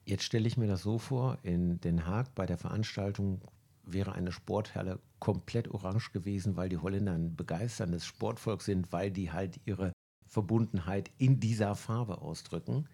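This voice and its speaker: A man's voice: deep voice, moderate pace, gravelly, dark tone, slightly rough. A man moderating a panel, asking inquisitive leading questions.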